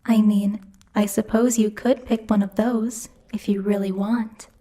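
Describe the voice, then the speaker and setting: A woman's voice: mid-range voice, little pitch movement, unhurried, dark tone, lightly acted. A woman voicing a bossy, teasing female demon who rules hell, speaking in a haughty, playfully menacing ASMR roleplay style. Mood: persuasive, earnest. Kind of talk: ASMR roleplay, intimate scripted monologue to the listener.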